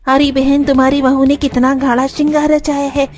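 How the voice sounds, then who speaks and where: Woman as a gossipy, high-pitched neighbour auntie. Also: little pitch movement, a little fast, a little mellow, natural voice. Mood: scornful. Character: gossipy, sharp-tongued middle-aged neighbour woman.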